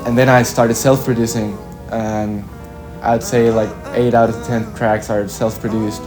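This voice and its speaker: Low-voiced young man. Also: flat delivery, over music, poor audio. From Chile. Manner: reflective, halting, with frequent "like" and "kinda". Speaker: musician being interviewed. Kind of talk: casual interview answers.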